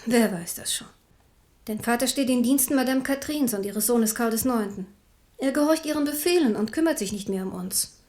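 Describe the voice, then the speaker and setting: A woman's voice: on the high side, fairly quick, a little mellow, lightly acted. A woman as a grave, resolute queen mother. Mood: resolute, solemn. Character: proud, devout queen mother, embittered and resolute. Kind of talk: German radio play acting.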